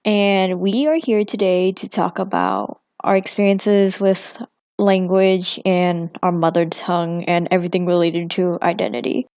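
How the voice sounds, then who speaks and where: Creaky-voiced woman hosting a podcast. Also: on the low side, unhurried, no echo. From Vietnamese American family. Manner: relaxed, rambling with many fillers. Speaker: podcast host. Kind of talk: casual podcast chat.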